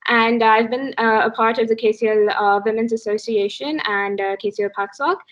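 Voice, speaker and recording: A young woman from Pakistan introducing herself as a student candidate, halting and full of fillers. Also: fairly high pitch, fairly even intonation, lo-fi sound. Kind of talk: casual self-introduction.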